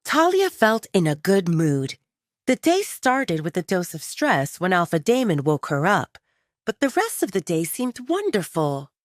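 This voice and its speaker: A woman's voice: medium pitch, no echo, studio quality. A woman narrating a scripted romance story for an audio drama.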